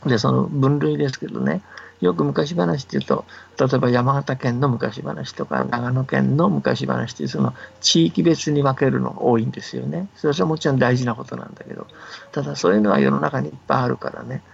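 An older man with a very creaky, husky voice gives a relaxed radio talk. He explains things in a folksy way, checking in with the listener at the ends of phrases. The audio is slightly dull and lo-fi. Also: medium pitch, brisk. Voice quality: gravelly, husky. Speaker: folklore researcher and storyteller.

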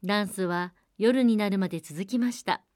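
A woman narrates in the manner of a radio fairy-tale programme. Her mid-pitched voice is measured and polite. The sound is dry, with almost no room around it. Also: darkish tone, no echo. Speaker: storyteller and programme co-host.

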